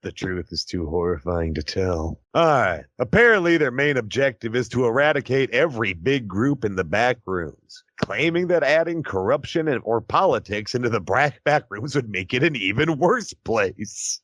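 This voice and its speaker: A man's voice: mid-range voice, animated, moderate pace, hardly any pauses, a bit bright, no room sound. A man co-hosting a podcast, reading stories aloud and chatting casually, with mock-serious jokes. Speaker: podcast co-host.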